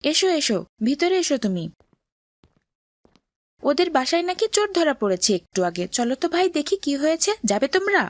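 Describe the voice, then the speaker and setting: A woman's voice: high-pitched, very animated, brisk, no room sound. A woman voicing a devout, friendly young boy in a cartoon, earnest and courteous. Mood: lively, surprised. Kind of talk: cartoon drama voice acting.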